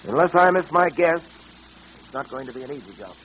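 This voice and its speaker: An actor playing a serious, determined police detective in an old-time radio drama, businesslike in manner. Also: male voice, fairly high pitch, animated, a bit bright. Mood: pensive, resolute. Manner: measured wording, serious, businesslike. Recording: noisy old radio recording, hum.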